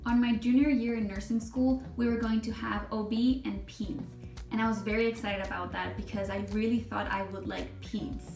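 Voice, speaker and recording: A woman's voice: on the high side, steady tone, moderate pace, a little mellow, soft background music. A young adult woman from Chile, a nursing vlogger, talking casually and expressively to camera, laughing often. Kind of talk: casual vlog talk to camera. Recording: echoey room, mic at a distance.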